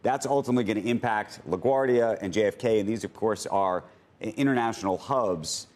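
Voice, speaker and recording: A man with an American accent working through roundabout, halting questions as a TV news anchor in a conversational style. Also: on the low side, darkish tone.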